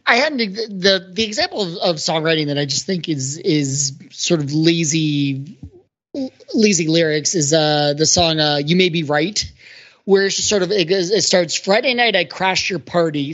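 A woman's voice: fairly low pitch, normal speed, a bit bright, highs cut off. A woman chatting casually as a podcast panelist, hedging her points in a conversational way. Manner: hedging, conversational, frequent 'like' and 'sort of'. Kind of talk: casual discussion, critiquing song lyrics.

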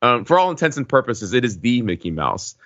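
A man chatting casually as a podcast co-host, halting and hedging, often wandering into asides. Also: medium pitch, sing-song, a bit bright. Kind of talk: casual chat. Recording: slightly dull, lo-fi audio.

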